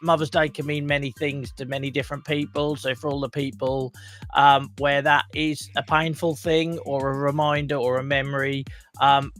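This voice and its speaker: A croaky man hosting a casual livestream talk show, chatting and greeting viewers by name. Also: medium pitch, little pitch movement, normal speed, fairly bright tone, faint music behind.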